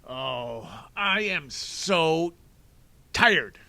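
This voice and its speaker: A man voicing a sneering, scheming villain who talks with mock politeness and drawn-out, theatrical menace. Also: mid-range voice, very animated, pushed and bright, heavily acted. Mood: menacing.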